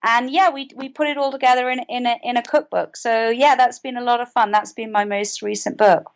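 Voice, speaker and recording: A woman's voice: a little high, fairly even intonation, fairly quick. A British woman speaking conversationally as an interview guest, explaining things in an earnest way. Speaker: podcast guest, author and mental health advocate.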